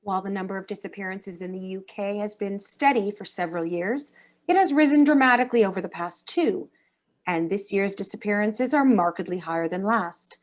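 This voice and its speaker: Woman playing a serious news anchor, medium pitch. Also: brisk, natural voice. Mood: grave, formal. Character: serious television news anchor reporting grave news. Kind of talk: formal scripted newsreading in a table read.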